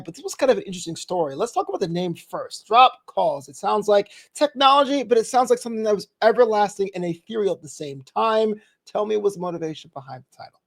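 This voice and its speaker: A man from New York hosting a book interview, upbeat and full of praise for his guest. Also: high voice. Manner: upbeat, effusive praise, polished presenter delivery. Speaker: interview host.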